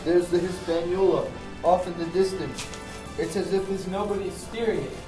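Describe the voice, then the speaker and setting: A man voices a plucky young cabin boy for a puppet show, earnest and slightly high. He sounds roomy, with music clearly playing. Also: fairly even intonation, moderate pace, natural voice. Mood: earnest, anxious. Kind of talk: amateur puppet show acting. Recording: echoey room, distant mic, music behind.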